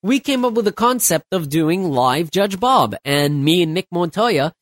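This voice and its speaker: A man's voice: high-pitched, animated, a little slow, no echo, studio quality. A man in his thirties hosting his own podcast alone late at night. He is stuffed up from a cold and rambles loosely, with many restarts and fillers. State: stuffed up, getting over a cold. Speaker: podcast host.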